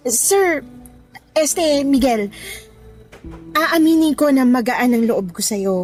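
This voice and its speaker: A woman's voice: sits high, animated, takes it slowly, a little mellow, soft background music. A woman playing a frank, playfully evasive young waitress with a Filipino accent in a radio drama. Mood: candid, hesitant.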